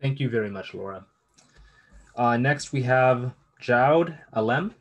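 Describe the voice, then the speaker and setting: A man's voice: mid-range voice, lively intonation, a little slow, dull audio. A man moderating an online public meeting over a video call, politely thanking speakers and calling the next one. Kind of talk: brief moderating remarks.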